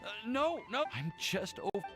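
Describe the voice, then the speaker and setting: A bashful, smitten young man from a video game. He stammers and hesitates, drawing words out with big swings in pitch. Soft music plays behind him. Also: sing-song, measured pace. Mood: flustered, smitten. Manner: stammering, shy, breathless with feeling. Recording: game dialogue over background music.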